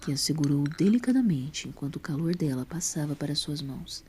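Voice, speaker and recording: Female narrator for scripted fiction: mellow, soft-edged tone, lively swooping intonation, faint noise behind. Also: low-pitched, fairly quick.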